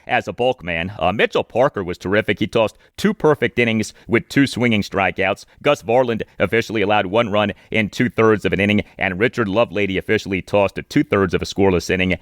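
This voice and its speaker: A man hosting a sports podcast, giving detailed commentary and stats with emphatic, deliberate delivery. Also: fairly low pitch, dry recording, studio quality.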